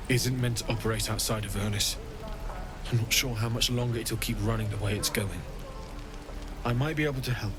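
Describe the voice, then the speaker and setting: A gruff, war-worn man acting a blacksmith from a fantasy game, practical and wry. His mid-pitched voice sits under clear music and falling rain. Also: close to an everyday voice. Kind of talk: video game character acting.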